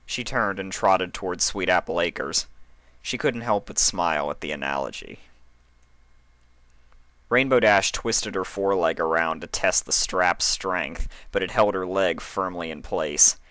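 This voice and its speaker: Guy narrating a story, deep voice but crisp and bright, dry close sound. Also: a little slow, dry recording. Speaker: narrator of fan fiction.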